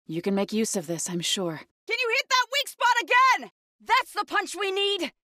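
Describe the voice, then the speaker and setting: Intense, bright-voiced young warrior woman. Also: very high-pitched, big pitch swings, normal speed, no echo, studio quality, heavily acted. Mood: earnest, alert. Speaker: video game voice actor. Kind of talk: scripted game combat barks and companion lines.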